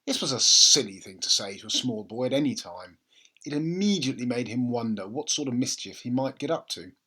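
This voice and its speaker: A man's voice: mid-range voice, expressive, fairly quick, sharp tone, slightly rough. A man narrating a children's book expressively, as a storybook reader.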